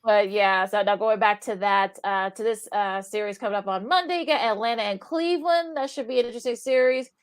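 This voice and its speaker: A woman's voice: mid-range voice, brisk, few pauses, clear, resonant tone. A woman co-hosting a casual sports radio show, thinking aloud with many "you know"s and trailing hedges.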